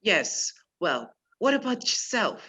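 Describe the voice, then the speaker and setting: A performer playing a polite, measured male professor who is secretly a menacing spy chief in a radio play. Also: medium pitch, sing-song, pushed and bright, breathy edge, exaggerated voice. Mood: quietly menacing. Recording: dull lo-fi virtual recording.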